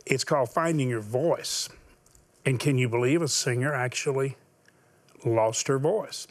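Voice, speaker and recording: A man's voice: mid-range voice, measured pace. A man hosting a television talk show, interviewing his guest in an encouraging way and laughing along with her. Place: television studio with a live audience.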